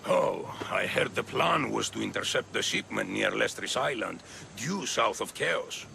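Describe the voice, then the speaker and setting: A man playing a gruff, foul-mouthed, gossipy sailor who talks coarsely and scornfully. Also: on the low side, lively intonation, measured pace, bright, forceful tone, slight background noise, full character voice.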